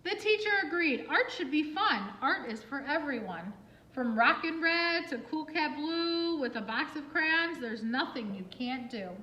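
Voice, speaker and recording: A woman reading a picture book aloud for children in an expressive, singsong way, with asides and questions to young listeners. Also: sits high, measured pace, muffled recording. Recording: roomy, echoing room sound.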